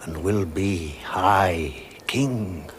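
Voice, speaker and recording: A man playing a great, wise, kingly lion in a radio drama, speaking in a solemn, stately way with weighty pauses. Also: low voice, slight background noise. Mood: solemn, regal. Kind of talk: British radio drama acting.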